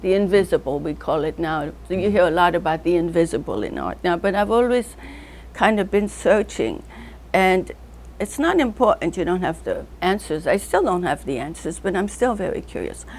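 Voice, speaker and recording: An older woman from North Africa with a fairly low-pitched voice, telling an interviewer loose, rambling stories. She wanders between names and memories and breaks into laughter often. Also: expressive, moderate pace, a little mellow, slight background noise. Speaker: artist being interviewed. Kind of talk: casual reminiscing interview.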